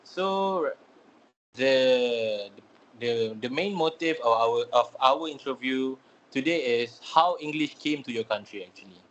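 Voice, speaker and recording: A man's voice: medium pitch, slow, a bit bright, lo-fi sound, slight background noise. A young man from Malaysia, a student asking interview questions hesitantly, with many fillers. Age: young adult.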